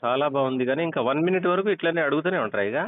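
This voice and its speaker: A man's voice: on the high side, animated, very fast. A man chatting casually and wryly as a customer on a muffled phone call recording. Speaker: customer answering a phone survey.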